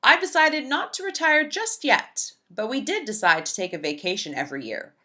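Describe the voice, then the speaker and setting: Female storyteller for kids' picture books: lively, swooping delivery, bright forward tone, emphatic phrasing. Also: high voice, normal speed. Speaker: read-aloud storyteller. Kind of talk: expressive children's picture book read-aloud.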